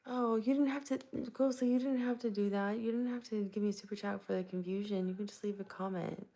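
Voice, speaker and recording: A soft-spoken woman from Tampa, Florida, chatting casually with viewers while hosting a live stream into a plugged-in microphone. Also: mid-range voice, steady tone, quick pace. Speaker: livestream host.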